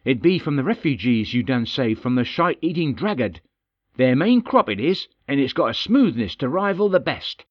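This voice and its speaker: A man's voice: mid-range voice, sing-song, normal speed, no echo, muffled recording. Man doing a jolly folksy dwarf warrior. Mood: easygoing. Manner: folksy dwarvish dialect, easygoing. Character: good-humored male dwarf warrior.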